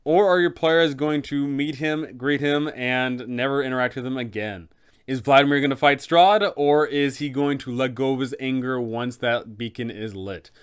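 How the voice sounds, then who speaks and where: A man's voice: medium pitch, moderate pace, clear, resonant tone. A man narrating advice for game masters, enthusiastic and speaking straight to viewers. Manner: enthusiastic, addresses viewers directly. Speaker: game master guide host.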